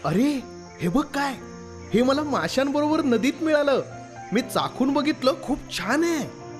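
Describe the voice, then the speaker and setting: A man's voice: very high-pitched, animated, measured pace, faint music behind. A man playing a simple, curious fisherman in a children's cartoon story, wondering and naive. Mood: curious, pleased.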